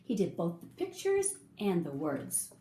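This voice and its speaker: A woman reading a children's picture book aloud, with expressive, drawn-out emphasis for young listeners. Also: fairly low pitch. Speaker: storybook reader. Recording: roomy echo, faint background noise.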